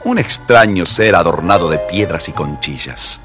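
A man with an Argentine accent narrating a scripted children's story with expressive storyteller phrasing. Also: low-pitched, normal speed, music in the background.

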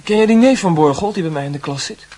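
A man's voice: sits high, expressive, quick pace, a little mellow. A man playing an earnest, brooding, stubborn teenage schoolboy in a radio drama. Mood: dry.

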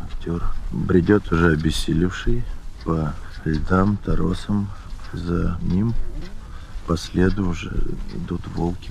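Male animal trainer, very low creaky voice, plain explanatory interview delivery, noisy location sound. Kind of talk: unscripted interview answers.

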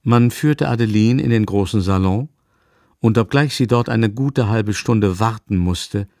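A man narrating a classic novel in a radio drama, measured and composed. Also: lowish voice, a little mellow, dry recording, clean studio sound. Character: male literary narrator of a French novel.

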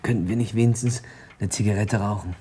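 A man's voice: on the low side, steady tone, fast talker, warm tone, a little hiss or hum, close to an everyday voice. An actor playing a junior police detective in a radio play, informal and grumbling but dutiful towards his chief. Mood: weary, grumbling. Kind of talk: German radio play acting.

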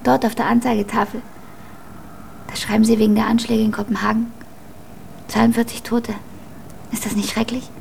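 Radio drama lead: a melancholy young female, creaky voice, fairly even melody, confiding, faint ambience. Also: a little high, moderate pace, long pauses, slight background noise. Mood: probing. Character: frank, melancholy young woman confiding in a stranger. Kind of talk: German radio drama acting.

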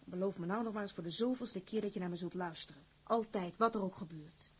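An actress playing a strong-willed, shrewd woman in a radio drama, urgent and persuasive, with a breathy, husky voice. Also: medium pitch, quick pace. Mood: firm.

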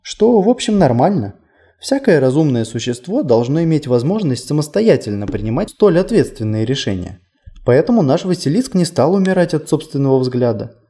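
A man's voice: a little high, very animated, normal speed, dark tone. A man voicing a whimsical, chatty male tour guide in an audiobook, conversational, playful and self-deprecating. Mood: playful storytelling. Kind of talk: audiobook character dialogue.

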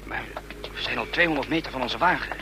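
An actor playing a deferential but restless, doubting subordinate secret agent in a radio play. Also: male voice, mid-range voice, fast talker, noisy background, natural voice. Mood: impatient.

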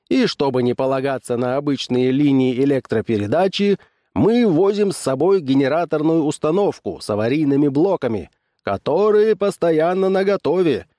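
A man's voice: fairly high pitch, dark tone, no echo, studio quality, pitched above the performer's own voice, lightly acted. A man voicing a showy fairground barker with emphatic, dramatic patter in an audiobook story. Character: showy male fairground barker hyping a dangerous exhibit.

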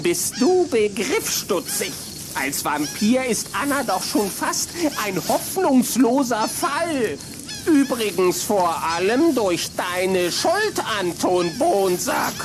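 A man playing a mocking, unpredictable teenage boy vampire in a children's radio play, with theatrical, drawn-out taunting. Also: high-pitched, big pitch swings, background noise, heavily acted. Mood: scornful.

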